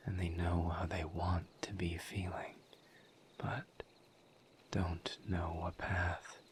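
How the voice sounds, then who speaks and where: A man voicing a gentle, patient misery demon in a breathy, intimate whisper, halting and solemn, for an audio roleplay. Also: low-pitched, a little hiss or hum. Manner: slow, deliberate, halting with long pauses.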